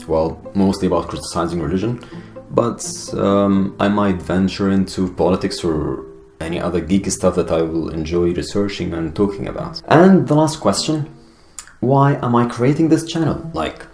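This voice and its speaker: Young adult male, Arabic accent, low-pitched, deliberate vlogger delivery, roomy sound, faint guitar bed. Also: a little mellow. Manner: earnest, deliberate, with pauses and fillers. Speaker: YouTuber. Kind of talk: personal video monologue. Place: Finland. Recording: echoey room with guitar music behind.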